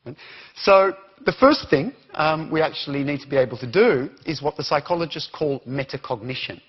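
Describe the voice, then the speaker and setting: A man's voice: a little high, animated, normal speed, husky, lo-fi sound. A man with an Australian accent giving an interactive conference talk, questioning the audience as he goes. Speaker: conference speaker.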